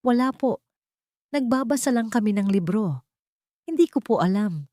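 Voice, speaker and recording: A woman acts out a humble young nanny in an audio drama, wrongly accused. Her voice is lifted higher, with a desperate, pleading manner. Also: expressive, normal speed, mellow, dry recording, clean studio sound, natural voice. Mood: shaken denial.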